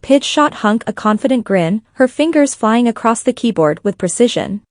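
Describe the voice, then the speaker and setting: A woman's voice: a little high, expressive, normal speed, darkish tone, no room sound, clean studio sound. A woman reading scripted fan fiction as a story narrator.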